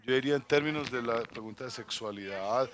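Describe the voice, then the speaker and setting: A man's voice: medium pitch, fairly quick, fairly bright tone. A man interpreting a talk live, restarting and repeating phrases as he goes. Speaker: interpreter for conference speakers.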